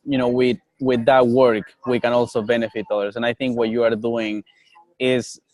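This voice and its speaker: A man's voice: medium pitch, level tone, normal speed, a little mellow, no room sound, low-quality recording. A young man from Colombia whose first language is Nasa, speaking as a guest in an earnest way and often repeating words as he searches for them. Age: young adult. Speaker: guest, coffee business owner. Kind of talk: casual interview.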